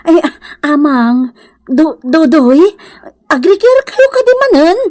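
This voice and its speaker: A woman's voice: high voice, very animated, slow pace, a little mellow, dry recording, full character voice. An actress playing a pleading, nagging woman who coaxes in plaintive calls, in a radio comedy drama with an Ilocano accent. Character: pleading, nagging woman coaxing a drunk man.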